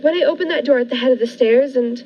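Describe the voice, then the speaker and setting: A woman's voice: high voice, fast talker, slight background noise, lightly acted. A woman playing a frightened, unhinged woman who is nervously appeasing yet secretly cunning, in the style of a 1940s radio thriller. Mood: manic, gloating. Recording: muffled lo-fi recording with music behind.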